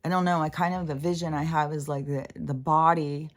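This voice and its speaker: A woman's voice: sits low, fairly even intonation, fairly quick. A woman with a scratchy voice, hosting her own spiritual channel in a loose, rambling monologue that drifts off mid-thought and swears freely.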